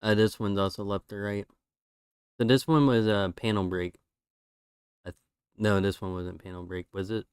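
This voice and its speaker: A man's voice: fairly low pitch, moderate pace, pauses often, smooth, no echo, studio quality. A man streaming, chatting casually and rambling through his drawings while losing his voice. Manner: relaxed, rambling with fillers.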